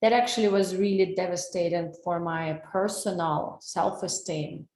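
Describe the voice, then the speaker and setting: A woman's voice: on the low side, fairly even intonation, moderate pace, a little mellow, reverberant, slight background noise, rough recording. A woman from Russia with a Russian accent, giving an earnest, personal wellness webinar over a video call and asking her audience questions. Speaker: wellness coach. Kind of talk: live webinar presentation. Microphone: computer mic on a video call.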